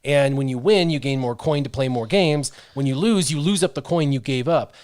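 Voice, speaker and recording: American male host, medium pitch, joking and animated, casual chat, dry sound. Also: normal speed, no room sound. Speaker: show host.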